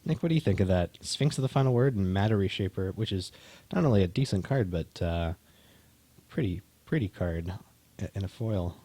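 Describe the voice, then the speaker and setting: A man co-hosting a casual stream, chatting in a relaxed, halting way with frequent restarts. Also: low voice. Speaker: co-host opening card packs. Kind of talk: casual chat.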